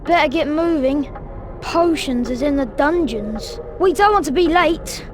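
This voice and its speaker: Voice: high-pitched, lively intonation, unhurried, background noise. A performer playing an eager, friendly schoolboy wizard in a video game, chatty and youthful. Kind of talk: scripted video game cutscene acting.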